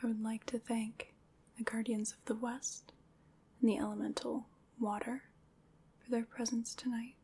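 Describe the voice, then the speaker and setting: A woman playing a gentle, solemn witch in an ASMR roleplay, whispering in a breathy, soothing, ceremonial way. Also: fairly high pitch. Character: gentle, solemn witch leading a Samhain ritual.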